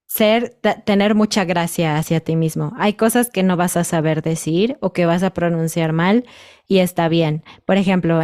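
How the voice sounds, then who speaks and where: A woman in her thirties with a Mexican Spanish accent, a guest chatting thoughtfully in an interview. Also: on the low side. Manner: thoughtful, measured, with soft laughs. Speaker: podcast guest, Spanish teacher. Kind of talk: casual interview chat.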